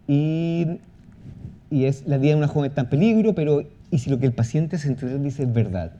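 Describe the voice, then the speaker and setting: Muffled man presenting, haltingly. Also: fairly high pitch, mellow. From Chile. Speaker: podcast creator giving a presentation. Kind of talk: conference talk, explaining a project. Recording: muffled audio with clear background noise.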